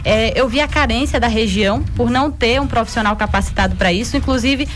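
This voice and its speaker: Higher-voiced young Bahian woman, music underneath. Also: a little fast. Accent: Bahian Brazilian. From Guanambi region, Bahia, Brazil. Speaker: chiropractor, interview guest. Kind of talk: explaining in a radio interview. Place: radio station studio. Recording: music behind the voice.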